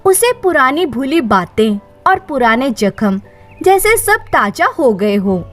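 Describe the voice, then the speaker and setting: A woman tells a dramatized moral story in a high voice with lively, swinging intonation. She takes it very slowly, as a storyteller would, with faint music behind her.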